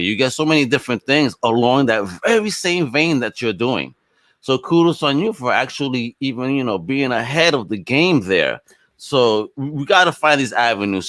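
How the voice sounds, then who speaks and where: A man's voice: medium pitch, a bit bright, no room sound. A man hosting a podcast in a casual, encouraging, slangy and effusive way over a video call. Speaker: podcast host. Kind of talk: casual chat interview.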